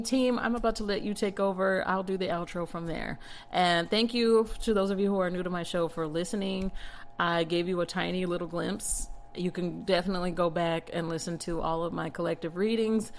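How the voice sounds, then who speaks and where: Low-voiced American woman podcaster. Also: a little hiss or hum. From Texas, United States. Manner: chatty, frank, emphatic, with light laughs. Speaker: podcast host and tarot reader. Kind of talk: casual monologue to listeners.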